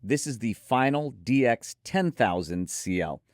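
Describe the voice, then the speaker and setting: A man's voice: mid-range voice, expressive, measured pace, no echo, studio quality. A man hosting a conversational product review in an enthusiastic, emphatic manner. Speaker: host and headphone reviewer.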